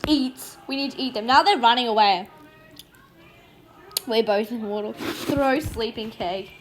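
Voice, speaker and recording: A woman with a very high voice chats her way through a video game in a silly, playful manner. She takes her time, slipping between casual commentary and read-aloud roleplay. There is clear noise behind her. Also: lively intonation, slow pace, long pauses.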